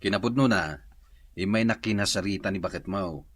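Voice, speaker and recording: A man's voice: sits low, fairly quick, slightly rough. A man with an Ilocano accent playing an easygoing, chatty drinking buddy who needles his friend in a comedy radio drama.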